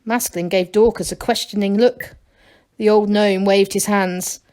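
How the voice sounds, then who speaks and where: A woman's voice: mid-range voice, fairly even intonation, measured pace, darkish tone. A woman reading a children's storybook aloud as narrator, like a teacher to a class.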